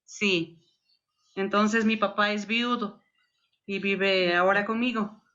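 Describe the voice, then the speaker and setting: A woman's voice: mid-range voice, steady tone, slow, pushed and bright, dull audio. A woman chatting casually and affectionately in simple phrases. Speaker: interview guest. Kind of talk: casual chat.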